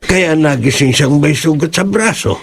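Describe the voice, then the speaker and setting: A concerned older father, acted by a man in a horror audio drama. His voice is raspy and full of creak, his manner gentle and serious. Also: on the high side, moderate pace. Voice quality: gravelly, raspy.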